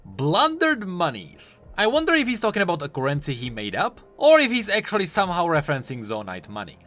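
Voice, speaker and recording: A man's voice: on the high side, wildly swooping pitch, brisk, a bit bright. A man hosting a scripted game lore commentary, with an emphatic, swooping delivery. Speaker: host of a game lore video channel. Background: background music.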